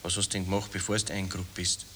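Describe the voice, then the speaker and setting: A plain-spoken, wary corporal in an old radio play, with a folksy Austrian accent. The voice is lowish and bright-edged, over hissy tape. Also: male voice, flat delivery, fast talker. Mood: puzzled, wary. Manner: folksy, dialect-coloured. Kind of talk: 1960s radio drama acting.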